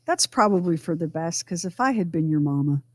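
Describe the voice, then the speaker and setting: A woman co-hosting a radio show, talking casually and explaining things in emphatic, deliberate phrases. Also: lowish voice, expressive. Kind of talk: casual conversational podcast talk.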